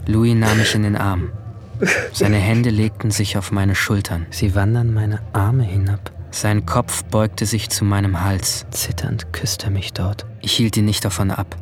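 A man playing a guilt-ridden seventeen-year-old boy, narrating his own story in a measured, introspective way in a radio drama. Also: on the low side, little pitch movement, close to an everyday voice. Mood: brooding, sombre. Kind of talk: scripted first-person radio drama narration. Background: music.

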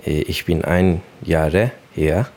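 A man in his thirties from Turkey introduces himself in halting, simple phrases. His voice is very deep and his pace slow. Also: a little mellow. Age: 30s. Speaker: kanun player.

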